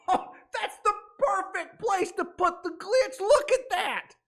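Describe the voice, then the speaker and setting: Animated, high-pitched man reacting. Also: slow, gravelly. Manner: animated, dramatic exclamations. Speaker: reaction video host. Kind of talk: casual reaction commentary.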